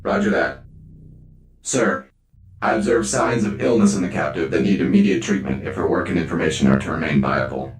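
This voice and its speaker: A man's voice: rumbling low, fairly quick. A man playing a subordinate spaceship officer in a science fiction audio drama, speaking formally, precisely and deferentially. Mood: dutiful, formal. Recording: echoey room, faint background noise.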